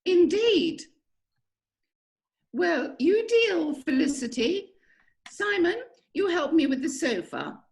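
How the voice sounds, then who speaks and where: A woman acting a high, swooping melodramatic lady of the manor. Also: sing-song, vocal fry, heavily acted. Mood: brittle hostess. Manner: theatrical, drawn-out delivery. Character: melodramatic aristocratic lady of the manor, passionate widow. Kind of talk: amateur online play reading.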